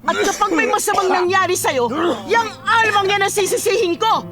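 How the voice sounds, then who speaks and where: A woman with a Filipino accent playing a bitter, domineering, sharp-tongued mother in a radio drama. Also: very high voice, normal speed. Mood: bitter, angry. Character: bitter, domineering mother scolding her ailing father.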